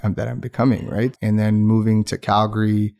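Earnest low-voiced man, rambling. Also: little pitch movement, fairly quick, gravelly, darkish tone. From Pakistan and Oman. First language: Urdu. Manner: earnest, rambling with restarts and fillers. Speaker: guest entrepreneur. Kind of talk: casual interview chat.

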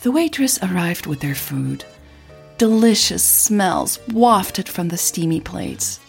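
A woman reading scripted audio drama narration in a husky voice. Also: lowish voice, very animated, slow, soft background music.

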